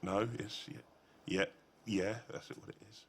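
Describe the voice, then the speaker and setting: A man's voice: on the low side, flat delivery, a little slow. A man in an audience asking a question, stammering and repeating words nervously. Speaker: audience member.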